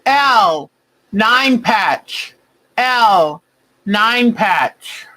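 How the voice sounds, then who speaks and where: Slow, bright-voiced woman calling bingo. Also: fairly high pitch, animated, poor audio. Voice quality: big voice. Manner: calls each clue twice, slow and deliberate. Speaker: host calling a quilting bingo game. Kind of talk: livestream game calling.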